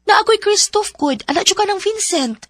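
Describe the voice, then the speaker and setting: A woman's voice: very high-pitched, animated, moderate pace, fairly bright tone, no echo, studio quality. A woman acting the lead's frank, teasing friend in a radio drama, giving blunt advice. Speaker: supporting character, the lead's friend.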